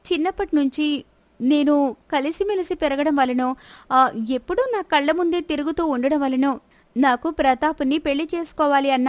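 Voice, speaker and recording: A woman playing a gentle, sensible young lady of a royal palace in a dramatized story, speaking politely and earnestly. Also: high voice, no room sound, natural voice. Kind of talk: dramatized moral story acting.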